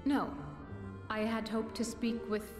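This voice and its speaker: Polite, reserved game heroine, female actor, slightly high, formal, reverberant, heavy music bed. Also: little pitch movement, measured pace, close to an everyday voice. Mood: apologetic, polite. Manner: formal, courteous. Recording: echoing hall under loud music.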